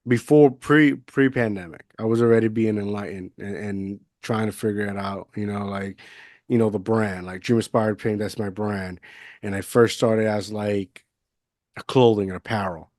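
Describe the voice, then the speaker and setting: A man's voice: lowish voice, steady tone, normal speed, a little mellow, dry recording, clean studio sound. A man in his thirties from Puerto Rico, hosting a podcast interview in a loose, rambling way with many fillers and restarts. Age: 30s. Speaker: podcast host. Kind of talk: casual conversational interview. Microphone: budget USB mic, video call.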